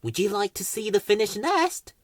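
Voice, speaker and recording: A woman voicing a busy, slightly huffy female bird in a children's storybook, brisk and put-upon. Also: mid-range voice, big pitch swings, dry recording, clean studio sound, voice pushed up. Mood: kindly.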